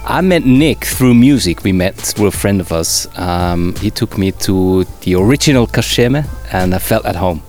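A man's voice: sits low, animated, normal speed, few pauses, a little mellow, soft background music. A man who is a DJ and bar co-owner, speaking as a guest in a friendly and slightly hesitant way. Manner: friendly, hesitant, with fillers and restarts. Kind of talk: interview conversation.

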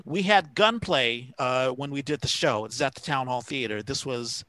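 Male podcast co-host, casual announcer of shout-outs, mid-pitched, halting delivery, close dry sound. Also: no room sound. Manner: halting, pausing to find names and details.

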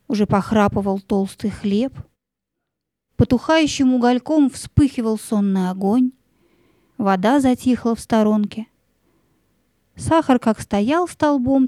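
A woman narrating a children's story in a measured, expressive way, drawing out words for dramatic effect. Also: on the high side, dry recording.

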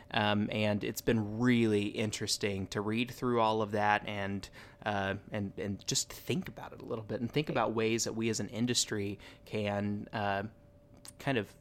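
Lowish-voiced man co-host, casual and halting. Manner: halting, filler-heavy. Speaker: podcast co-host. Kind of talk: casual intro chat.

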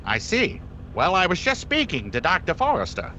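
A man's voice: high voice, wildly swooping pitch, moderate pace, pushed and bright, muffled recording, a little hiss or hum, exaggerated voice. An actor playing an earnest, easily exasperated male radio reporter in a 1930s-style broadcast spoof. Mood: formal, puzzled. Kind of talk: 1930s radio announcer acting.

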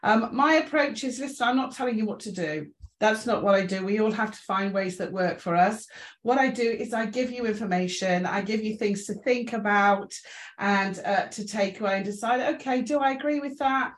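A woman's voice: mid-range voice, normal speed. A middle-aged woman with an English accent giving an informal presentation in a chatty, self-deprecating, reassuring way. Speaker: invited speaker, inclusion trainer.

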